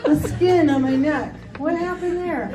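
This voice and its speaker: A woman's voice: high voice, a little slow, over a noisy crowd. A woman performing scripted reader's theater as one of the readers in a church hall. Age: 50s. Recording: echoey hall from far off, noisy, background sound.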